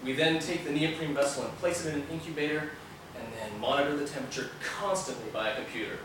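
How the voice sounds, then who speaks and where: A man's voice: fairly high pitch, fairly even intonation, fast talker, lightly acted. A man playing a proud, eager scientist in a stage play, explaining things keenly. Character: proud, eager male scientist doctor showing off creations. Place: theatre stage, picked up from a distance. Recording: echoey, noisy stage videotape from far off.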